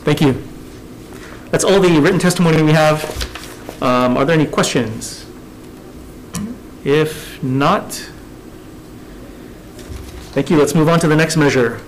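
A man's voice: a little high, slight background noise, low-quality recording. A man, chairing a government committee hearing from a desk microphone in a hearing room. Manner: measured, businesslike, reading out agenda items. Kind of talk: formal hearing procedure.

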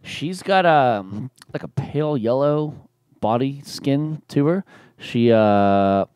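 A man in casual podcast chat, answering haltingly with many fillers. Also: medium pitch, animated, a little mellow, clear, resonant tone, no echo, clean studio sound. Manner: hesitant, lots of fillers. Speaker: podcast guest.

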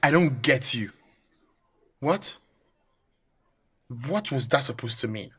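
A man playing an aggrieved, awkward young Nigerian student in an audio drama, halting and complaining. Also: medium pitch, lively intonation, a bit bright, a little hiss or hum. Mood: flustered. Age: young adult.

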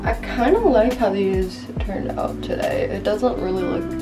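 A woman's voice: medium pitch, measured pace, far from the mic, loud music behind the voice. A woman in her twenties chatting casually as she walks through her everyday makeup routine in her bedroom, talking to a camera set up at arm's length. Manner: chatty, relaxed, lots of "like" and "um". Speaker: vlogger. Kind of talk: casual makeup vlog chat. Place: small bedroom with bathroom doorway, indoors.

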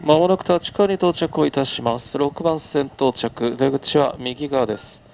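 A man's voice: medium pitch, unhurried, creaky, darkish tone, muffled recording. A man making a live train conductor's announcement in a formal, practised, phrase-by-phrase delivery.

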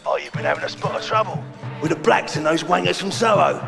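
Older London mob boss, heavy rasping creak, raised pitch, mocking, ranting, theatrical, game cutscene. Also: male voice, quick pace, music in the background. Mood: gloating, scheming. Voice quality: gravelly. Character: gloating, ranting old London crime boss. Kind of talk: video game cutscene acting.

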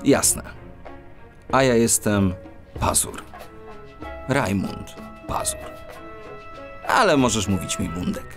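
A man playing an eager, quick-witted cat police detective who narrates a children's audio drama. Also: lowish voice, lively intonation, vocal fry, soft background music, heavily acted. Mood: friendly. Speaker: lead character and narrator.

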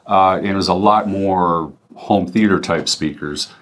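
A man's voice: low-pitched, unhurried. A middle-aged man giving an informal product explanation in a conversational way, as a company representative. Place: small indoor demo room.

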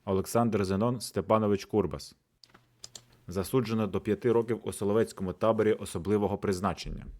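A man's voice: lowish voice, steady tone, a little fast, a little mellow. A man giving theatrical, scripted narration for a visual novel.

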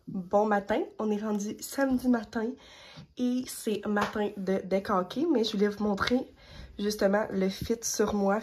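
A young woman with a Québécois French accent talks through her day in a chatty, upbeat way, filling her sentences with little asides. Her voice sits at a middling pitch and is picked up by a phone held at arm's length. A faint noise hums underneath. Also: a little mellow. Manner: chatty, upbeat, rambling with fillers. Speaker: vlogger. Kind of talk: casual vlog chat. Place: bedroom and car.

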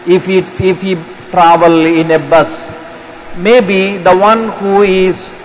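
A man in his forties, a priest, giving a slow, deliberate sermon at the pulpit microphone of a large cathedral, gesturing with raised hands as he speaks. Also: sits high, level tone. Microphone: stand mic at the pulpit. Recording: long cathedral reverb, faint background noise.

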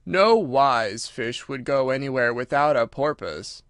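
Storybook turtle creature, male performer: ponderous, sorrowful and sleepy, very drawn-out delivery, dry close recording. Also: mid-range voice, animated, fairly bright tone, no room sound. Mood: solemn, offended. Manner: slow, drawn-out, solemn speech. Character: mournful, drowsy, self-important old Mock Turtle creature. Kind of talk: storybook character voice.

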